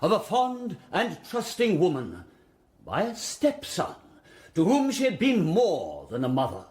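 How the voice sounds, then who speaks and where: An actor playing a formidable old-school prosecuting barrister in a radio drama, with an English accent and deliberate, righteous oratory. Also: male voice, sits high, huge pitch swings, a little mellow, heavily acted. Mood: indignant, declamatory. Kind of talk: courtroom speech in radio drama.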